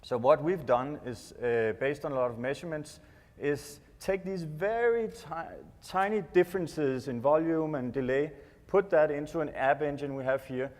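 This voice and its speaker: A man's voice: a little high, normal speed, a little mellow. A Danish man with a Danish accent giving a live product presentation in a hesitant, explanatory way. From Denmark. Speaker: tech presenter. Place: large indoor conference stage. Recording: echoey hall PA reverb.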